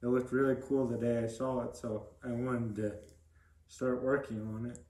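A young man answering interview questions casually as a guest, in a halting, plain-spoken way. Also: mid-range voice, level tone, a little mellow, echoey room. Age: young adult. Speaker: podcast guest.

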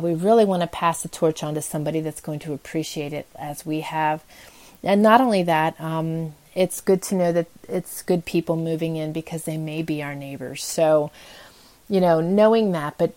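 Female podcast host with a low register; earnest, encouraging, informal motivational delivery. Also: moderate pace, mellow. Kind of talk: casual motivational podcast talk.

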